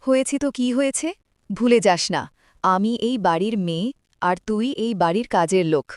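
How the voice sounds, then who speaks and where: A woman's voice: medium pitch, lively intonation, moderate pace, darkish tone, clear, resonant tone, dry recording, clean studio sound, close to an everyday voice. A woman voicing a haughty, snobbish mother who scolds in a cartoon. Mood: contemptuous. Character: haughty, class-conscious mother from the family house. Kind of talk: cartoon dialogue.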